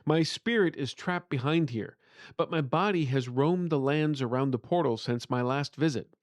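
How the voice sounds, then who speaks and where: A man's voice: a little high, moderate pace, no room sound, voice pushed up, natural voice. Trapped spirit of an uncle , played by a male narrator, earnest and imploring, fantasy audiobook, close dry sound. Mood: urgent shouting. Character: earnest, pleading uncle's spirit trapped behind a sealed portal.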